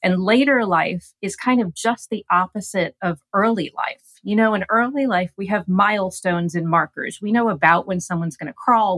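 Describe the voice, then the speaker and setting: A woman speaking as an expert guest on a radio interview, explaining ideas in an articulate, measured way. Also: medium pitch, a bit bright, dry recording. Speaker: gerontologist guest.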